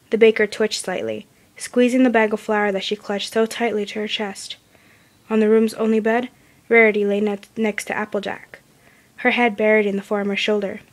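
A woman reading fan fiction aloud as scripted story narration. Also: mid-range voice.

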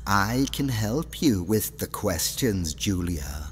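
A man's voice: lowish voice, sing-song, takes it slowly, a little hiss or hum. An actor playing a sly, elderly mad professor in an audio drama, theatrical and insinuating as he coaxes. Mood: coaxing. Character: sinister elderly male mad professor, sly, coaxing manipulator. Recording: long reverb, music underneath.

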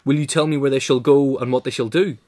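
A man's voice: mid-range voice, fairly even intonation, quick pace, dark tone. A man reading a scripted political essay as a narrator.

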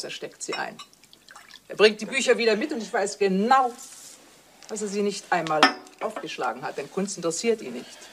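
An actress playing a bitter, suspicious middle-aged wife in a German crime radio play, asking pointed, sarcastic questions. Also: female voice, on the low side, a bit bright, a little hiss or hum.

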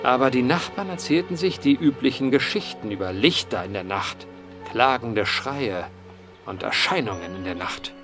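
An earnest, eloquent gentleman investigator played by a male actor tells of strange matters in a radio mystery. His voice sits at a middle pitch and his phrasing is formal and measured in wording. Soft music plays behind him. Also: lively intonation, lightly acted. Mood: grave storytelling. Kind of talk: period mystery radio play acting.

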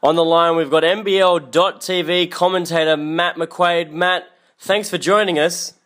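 A man hosting a sports interview, speaking haltingly and repeating words as he puts his questions. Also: a little high, steady tone, a bit bright. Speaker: sports radio host and interviewer. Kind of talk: broadcast interview.

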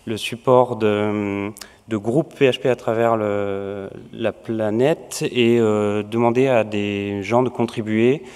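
A man's voice: on the low side, steady tone, takes it slowly, a little mellow, clean tone. A man introducing a community film on stage, speaking with frequent hesitations. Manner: hesitant, many fillers. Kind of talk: stage announcement. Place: large lecture hall. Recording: reverberant hall.

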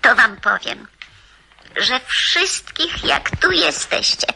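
A loving old grandmother in a radio play. She speaks slowly and solemnly in a high, sharp-edged voice full of tenderness. A light phonograph noise with clicks runs through the recording. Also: big pitch swings, gravelly, full character voice, poor audio. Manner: slow, deliberate, tender. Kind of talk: radio drama acting.

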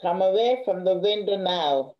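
A woman's voice: medium pitch, expressive, normal speed, natural voice. An older woman with a Caribbean accent reading a stern, matter-of-fact, bookish elder sister in a play, over a video call. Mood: stern, dry. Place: indoor room at home, on video call. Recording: dull video call audio.

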